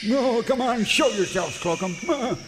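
An actor playing a crotchety, muttering cowboy sidekick in a parody old-time radio serial. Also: male voice, extremely high pitch, expressive, unhurried, long reverb tail, over music, heavily acted, low-quality recording. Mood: taunting.

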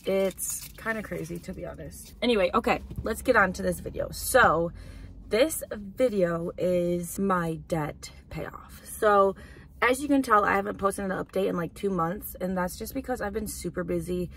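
A woman's voice: mid-range voice, normal speed, gravelly, a bit bright, slight background noise. A woman in her twenties, chatting casually and rambling for a vlog while sitting in a parked car. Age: 20s. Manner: chatty, rambling, lots of "like" and "literally". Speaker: vlogger. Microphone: camera on dashboard.

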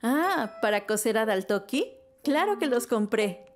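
A woman's voice: a little high, big pitch swings, a little slow. A woman voicing a kind, patient young mother in a cartoon dubbed in Latin American Spanish. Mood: warm. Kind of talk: children's animation dub. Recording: music behind the voice.

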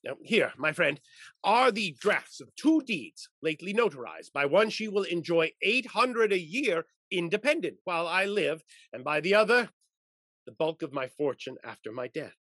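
An older male actor playing a fond, meddling old gentleman husband in a theatrical period stage comedy, with a gravelly, husky voice. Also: high-pitched, moderate pace, dry recording. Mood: earnest, confiding. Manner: theatrical, animated period speech. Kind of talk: Restoration-style stage comedy over video call. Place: indoor room, plain backdrop, video call.

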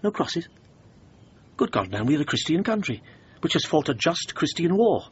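Radio play: conservative, blustering architect, formal, animated delivery, dull recording. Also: male voice, moderate pace, slightly rough, heavily acted. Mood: indignant. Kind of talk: radio drama acting. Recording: muffled radio recording with rumbling noise.

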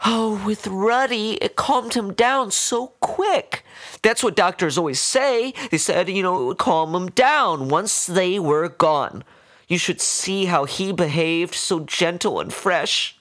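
A man voicing an unhinged, doting woman who fusses over her pet in a high, breathy, wavering voice. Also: takes it slowly, fairly bright tone, dry recording. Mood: cooing.

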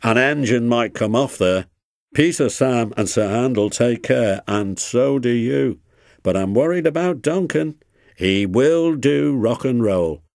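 Man as an earnest, lively little diesel engine. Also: mid-range voice, a little slow, gravelly, no echo, clean studio sound, voice pushed up. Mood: worried. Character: earnest, worrying, easily hurt male diesel engine.

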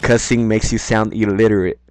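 A man's voice: on the low side, flat delivery, fairly quick, a little mellow, dry recording. A man with a Mexican accent giving casual, rambling, slangy commentary over his gameplay.

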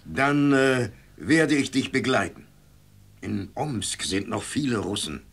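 An actor playing a grave, kindly plain-spoken rescuer in a radio play, with a raspy voice. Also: male voice, medium pitch, animated, slow pace, fairly bright tone, heavily acted. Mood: grave, caring. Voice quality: raspy, creaky. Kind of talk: German radio play acting.

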